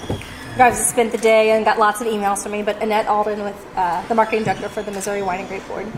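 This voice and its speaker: A woman working as a marketing director who organized the group, introducing herself briskly. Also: mid-range voice, level tone, low-quality recording. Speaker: marketing director for a wine board. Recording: crowd chatter and noise.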